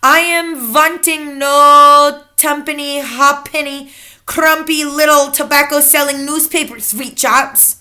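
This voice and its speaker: A woman's voice: sits high, expressive, unhurried, bright, forceful tone, voice pushed up, heavily acted. A woman voicing a tiny, tyrannical female witch leader who shrieks orders in oddly broken grammar. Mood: commanding.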